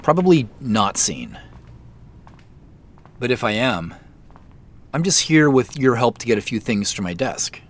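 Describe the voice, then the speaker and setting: A furtive male office worker in an audio drama. His talk is slow and halting, with an awkward, casual feel and pitch that moves around a lot. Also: very animated. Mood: furtive, musing. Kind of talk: naturalistic audio drama acting.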